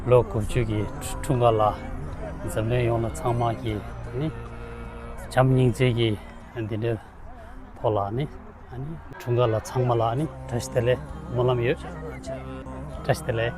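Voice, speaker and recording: A man speaking slowly and haltingly as an interviewee at an outdoor event. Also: mid-range voice, steady tone, over music. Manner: slow, halting, many pauses.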